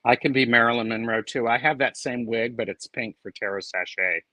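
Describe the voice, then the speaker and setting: A man's voice: mid-range voice, a little fast, bright, forceful tone, dry recording. A man joining a live tarot stream as a guest reader, talking in a hesitant, reflective way with lots of ums. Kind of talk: casual live-stream chat.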